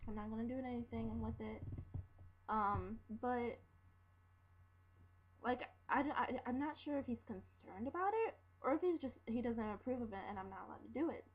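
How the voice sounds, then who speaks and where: A seventeen-year-old girl ranting casually to camera at home, rambling and halting with lots of fillers and restarts. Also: a little high. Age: teens. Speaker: vlogger. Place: room at home. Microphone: built-in laptop mic. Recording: dull lo-fi laptop audio.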